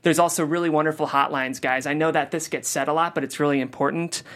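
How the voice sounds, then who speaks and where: A man's voice: a little high, steady tone, fast, fairly bright tone. A man hosting a podcast talk after a script reading, speaking earnestly and with many fillers. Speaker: podcast host. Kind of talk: casual discussion after a table read.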